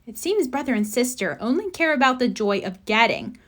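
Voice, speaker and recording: A woman voicing a gentle, thoughtful mother bear from a children's storybook, in a hushed, confiding way. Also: on the high side, sing-song, brisk. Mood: hushed concern.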